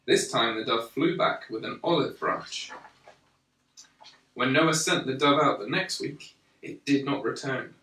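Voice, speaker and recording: A man reads a children's story aloud at a slow, deliberate pace. His voice is bright and sits at an ordinary male pitch. The recording is roomy and echoey, a little away from the microphone. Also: distant mic. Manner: measured and deliberate, with pauses between phrases. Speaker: storyteller reading a Bible picture book to a young child.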